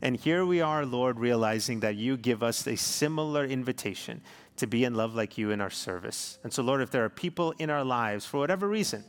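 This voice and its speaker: Pastor preaching in a reverberant church. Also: male voice, medium pitch. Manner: warm storytelling, earnest pastoral delivery. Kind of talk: sermon with closing prayer. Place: large church sanctuary. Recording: long hall reverb.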